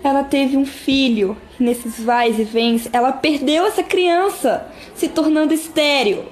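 A woman's voice: high-pitched, measured pace, a little hiss or hum. A woman playing an emphatic, wronged young wife in an amateur radio drama. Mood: outraged, defiant. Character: young wronged wife, insecure turned defiant.

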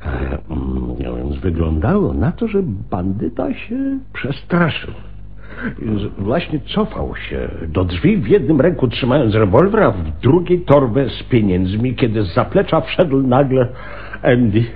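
Flustered shopkeeper, wildly varying pitch. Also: male voice, huge pitch swings. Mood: hesitant, flustered. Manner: hesitant, flustered, halting. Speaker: radio drama actor. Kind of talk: radio play acting. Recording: narrow, phone-like radio recording with hiss.

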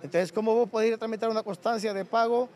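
A middle-aged Honduran man speaks off the cuff to press microphones outdoors. His voice is high and he talks fast, as a union leader would. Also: steady tone, darkish tone. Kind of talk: spontaneous press interview. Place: outdoors at a gated building entrance. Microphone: handheld press microphones.

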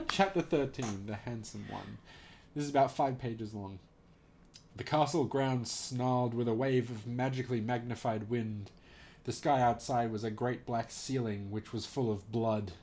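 A man's voice: mid-range voice, expressive, measured pace, long pauses, darkish tone. A man casually reading aloud and commenting as host, amused and giggly, swearing now and then.

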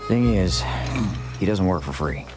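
A cool Old West gunslinger for a video game. His voice is deep, his delivery wry and laconic. Music sits behind the dialogue. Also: expressive, measured pace. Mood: businesslike. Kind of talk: video game cutscene acting.

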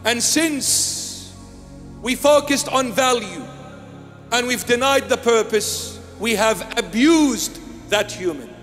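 High-voiced bishop preaching with emphasis. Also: male voice, animated, takes it slowly, a bit bright, big-room sound, faint music behind. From Iraq. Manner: emphatic, repeating key phrases. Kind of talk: sermon. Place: small church with altar and candles. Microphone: handheld microphone.

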